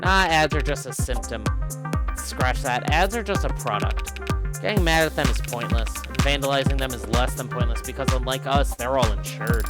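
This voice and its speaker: A man's voice: medium pitch, normal speed, natural voice. A man voicing a shrewd, sardonic female defense lawyer in a visual novel, dry, probing and teasing. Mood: cynical, dry. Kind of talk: acting out visual novel dialogue on stream. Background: loud game music.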